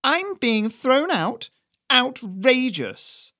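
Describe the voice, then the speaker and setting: A man voicing a haughty, bigoted old woman who is shrill and blustering. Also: big pitch swings, normal speed, fairly bright tone, dry recording, clean studio sound, exaggerated voice. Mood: indignant.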